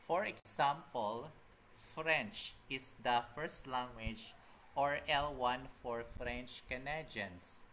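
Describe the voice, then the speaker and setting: A young Filipino man, a kindergarten teacher, giving a formal class report slowly and carefully, with some restarts. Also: mid-range voice, pushed and bright, slight background noise, rough recording. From Philippines. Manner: slow, careful delivery with restarts.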